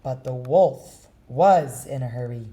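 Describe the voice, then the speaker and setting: A woman reading a children's story aloud with slow, expressive storytelling. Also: extremely low pitch, highs cut off. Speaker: storybook reader.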